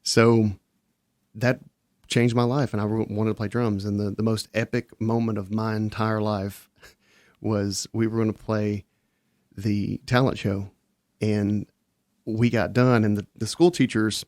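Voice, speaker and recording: A man's voice: fairly low pitch, level tone, unhurried, darkish tone, dry recording. Rambling storyteller man, dry close sound. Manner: rambling, self-interrupting storyteller. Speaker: guest. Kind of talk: casual podcast storytelling.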